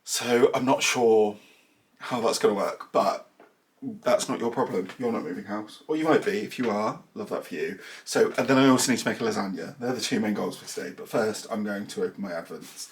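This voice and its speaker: Chatty southern English man, lowish voice. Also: brisk, slight background noise. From southern England. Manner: chatty, rambling, self-deprecating asides. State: slightly nasal, bunged up. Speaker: knitting vlogger. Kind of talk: casual vlog chat to camera. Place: small cluttered room at home, indoors. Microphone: camera mic.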